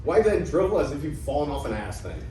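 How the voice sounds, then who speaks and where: A man's voice: a little high, sing-song, fast talker, dark tone. A man acting a crafty, mocking old Greek father in an amateur reading of a classical comedy, pompous and theatrical. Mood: scornful, pompous. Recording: echoey room, distant mic, faint noise.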